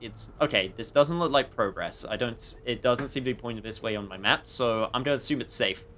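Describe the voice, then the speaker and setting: A man's voice: medium pitch, brisk, fairly bright tone. A man doing casual let's-play commentary, playfully reacting and muttering to himself, with some swearing. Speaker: game streamer. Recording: faint background noise.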